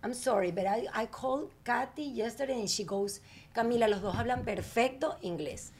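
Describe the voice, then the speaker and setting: A woman's voice: a little high, fairly quick, a little mellow, a little hiss or hum. A woman hosting a podcast, coaxing and teasing her guests in casual chat. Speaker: podcast host.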